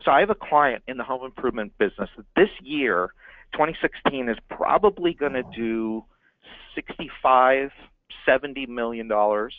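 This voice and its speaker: A man teaching business owners about marketing, leaning hard on key words and repeating them for emphasis. His voice sits at an ordinary middle pitch. The sound is thin and phone-like, with a dry, close feel and no room echo. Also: expressive, moderate pace. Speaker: marketing consultant. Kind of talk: business training talk.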